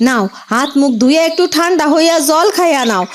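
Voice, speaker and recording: A woman's voice: high voice, lively intonation, quick pace, a little hiss or hum. A woman voicing a poor woodcutter's caring wife in a cartoon, homely and affectionate, with a rural eastern Bengali dialect. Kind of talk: cartoon voice acting.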